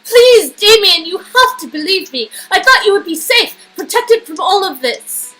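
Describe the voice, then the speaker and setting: A woman's voice: very high voice, expressive, moderate pace, pushed and bright, heavily acted. A woman playing a desperate, earnest young female agent, pleading and emphatic, in a story-game reading. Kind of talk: amateur dialogue reading of an interactive story game. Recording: music under the voice.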